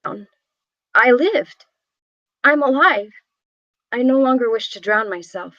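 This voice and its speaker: A higher-voiced, haunted young woman tells her family's tragic story as an actress reads her in a drama script. She is reflective and deliberate. The sound is dull and lo-fi, like an online call. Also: expressive, a bit bright, no echo. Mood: resolute. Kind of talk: scripted voice-over at a table read.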